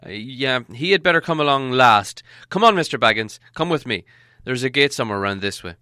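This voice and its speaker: A man's voice: medium pitch, lively intonation, a little fast, pushed and bright, smooth, dry recording, clean studio sound. A man voicing an old wizard, fussy, polite and stammering, in a storybook reading. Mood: brisk, instructing.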